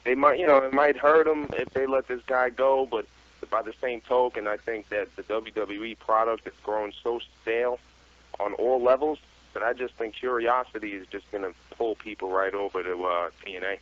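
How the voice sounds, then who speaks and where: A man's voice: mid-range voice, steady tone, normal speed, no echo. A man phoning in to a radio show as a guest, chatting casually and giving his opinions. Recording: dull call-in radio audio.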